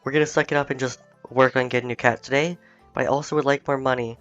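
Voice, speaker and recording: A man hosting a gaming video, slightly hoarse while recovering from illness, chatting and thinking aloud as he plays. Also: mid-range voice, fairly even intonation, fast, a bit bright, dry recording, faint music behind. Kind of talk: casual let's-play commentary. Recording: dull, dry home recording.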